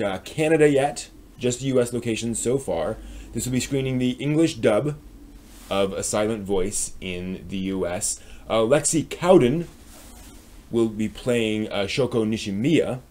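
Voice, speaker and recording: A man with an American accent hosting a live stream, giving a casual news rundown in a hesitant, halting way as he thinks aloud. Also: medium pitch, a little mellow. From United States.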